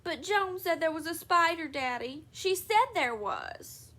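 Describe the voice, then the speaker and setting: A woman doing a shrill squealing little girl. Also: expressive, a little slow, pushed and bright, heavily acted. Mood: insistent. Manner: shrill, frantic pleading.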